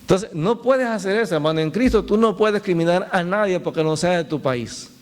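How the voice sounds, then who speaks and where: Male pastor, high-pitched, conversational preaching with asides to the flock. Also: expressive, brisk. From El Salvador. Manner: storytelling with laughs and direct appeals to the congregation. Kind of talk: sermon.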